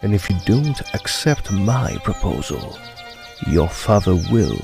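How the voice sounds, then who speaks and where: A man plays an imperious suitor from a period radio melodrama, slow and menacing. His deliberate lines swing widely in pitch over music. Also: big pitch swings, vocal fry, full character voice. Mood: coldly threatening. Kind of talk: audio drama acting.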